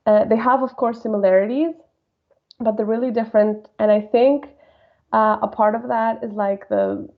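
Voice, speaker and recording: A woman in her late twenties from Colombia with a Colombian accent, chatting informally as a podcast guest and telling stories in an animated way. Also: on the high side, moderate pace, phone-quality sound. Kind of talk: casual interview chat.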